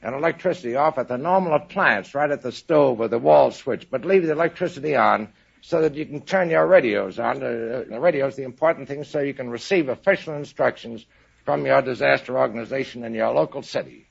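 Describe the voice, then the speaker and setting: An older American man speaks with a very gravelly, husky voice. He answers formally and deliberately, like an official being interviewed. The recording is dull vintage radio from the 1950s. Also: medium pitch. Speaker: retired admiral, quiz show contestant.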